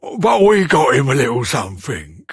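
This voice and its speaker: An actor voicing a humble, rough-edged ruffian in a fantasy video game, with a husky voice. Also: male voice, medium pitch, very animated, normal speed, dry recording, clean studio sound. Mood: bashful, warm. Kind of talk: scripted video game voice acting.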